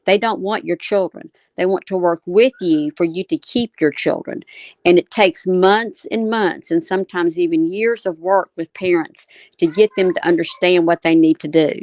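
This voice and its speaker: A woman with a fairly low voice hosts a talk. She explains her points in a measured way, often restating them in short phrases. The sound is dry, with little room in it. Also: darkish tone, dry recording. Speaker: talk show host. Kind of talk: explanatory live commentary answering viewer comments.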